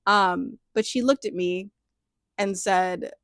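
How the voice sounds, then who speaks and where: A young adult woman speaking candidly in a reflective personal monologue, thoughtful and searching, with frequent "like" fillers and soft laughs. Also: mid-range voice, slow pace, no echo, clean studio sound. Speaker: personal storyteller.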